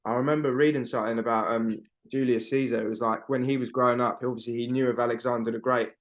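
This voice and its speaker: A man's voice: mid-range voice, steady tone, fast talker. Rambling, emphatic young man. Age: 20s. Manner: rambling, emphatic, swears a lot, fillers like "bro". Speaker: podcast co-host. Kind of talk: casual chat. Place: at home on a video call. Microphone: video call.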